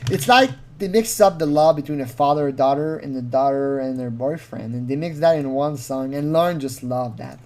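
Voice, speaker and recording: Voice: medium pitch, moderate pace, few pauses, a little mellow. Video commentator, mid-range voice, informal and rambling, giggly, given to mocking impressions. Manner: giggly, often laughing through sentences; rambling, with acted-out mocking quotes. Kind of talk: informal spoken commentary on a recording.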